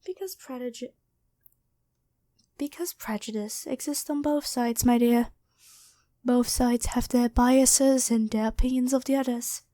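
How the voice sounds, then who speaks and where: Mellow, gentle angel healer voiced by a woman. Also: fairly high pitch, a little slow, pauses often, lightly acted. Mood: patient, earnest. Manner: gentle, soft-spoken, apologetic, hesitant. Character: gentle female angel battlefield medic, former nun, kind. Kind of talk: ASMR roleplay, spoken to the listener.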